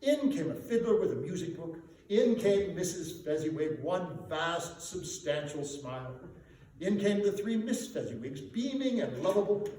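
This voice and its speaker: A man giving a dramatic literary reading as a storyteller, with theatrical, emphatic and deliberate phrasing. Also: a little high, expressive, warm tone. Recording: very echoey room, distant mic, background noise.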